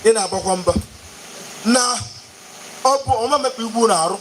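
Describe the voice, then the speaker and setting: An eager, servile interpreter acted by a man in a live stage play, his voice high and his accent Igbo Nigerian. Clear noise sits behind him on the recording. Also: moderate pace, lightly acted. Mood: proclaiming. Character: eager, servile local interpreter to a colonial officer. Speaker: stage actor.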